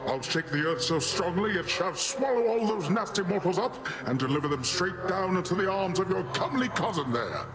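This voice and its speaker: An actor playing a boisterous, pompous middle-aged sea god in a video game, with grandiose, theatrical delivery. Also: male voice, high-pitched, lively intonation, brisk, hardly any pauses. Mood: boisterous, eager. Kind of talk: scripted video game character acting. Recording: voice under very loud noise.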